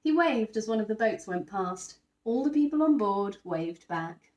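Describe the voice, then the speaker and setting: Female storyteller for young children, expressive and lively in pitch, emphatic on key words, echoey room sound. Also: measured pace. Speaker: teacher reading a children's picture book aloud. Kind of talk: expressive scripted story narration.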